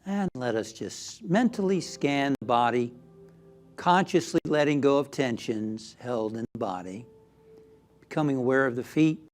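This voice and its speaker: A man with a mid-pitched voice leads a guided meditation at a very slow pace. His delivery is gentle and soothing, softening at times to near-whispers. Music plays behind him. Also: lively intonation. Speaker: minister leading guided meditation.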